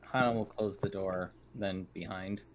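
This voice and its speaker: A man's voice: low voice, steady tone, measured pace. A man playing a tabletop roleplaying game, describing his character's actions in the third person. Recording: faint background noise on the mic.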